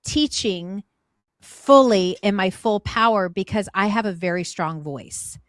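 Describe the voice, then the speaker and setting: A woman's voice: medium pitch, measured pace, no room sound. A woman talking as a guest in a candid conversation, earnest and reflective, often restarting and repeating her words.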